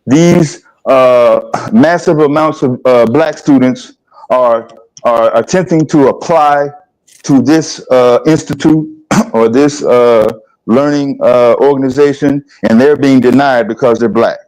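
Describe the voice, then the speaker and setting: A man in his fifties from the American South, hosting a livestream discussion in a plainspoken, halting way. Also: medium pitch, darkish tone, rough recording. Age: 50s. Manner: plainspoken, halting, asks rhetorical questions. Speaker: livestream host. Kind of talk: casual discussion.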